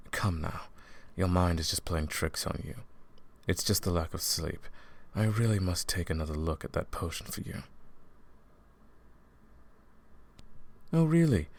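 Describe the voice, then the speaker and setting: Guy doing an evil smug royal advisor for fantasy roleplay audio, creaky and unhurried, silky, talks down to you. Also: sits low, heavily acted. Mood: mocking, amused. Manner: silky, condescending, theatrical, drawn-out menacing phrasing. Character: smug scheming royal advisor and sorcerer, usurping the throne. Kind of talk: fantasy audio roleplay, intimate villain monologue.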